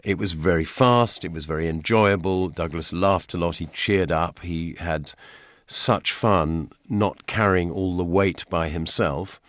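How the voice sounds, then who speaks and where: A man's voice: sits low, unhurried, a bit bright, no echo. A man with a British accent reminiscing fluently and vividly in an anecdotal documentary interview.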